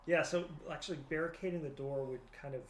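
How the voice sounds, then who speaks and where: A man's voice: fairly high pitch, fast. A man talking casually as he reasons through a tabletop game with a group. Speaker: tabletop roleplaying game player. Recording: roomy echo, faint background noise.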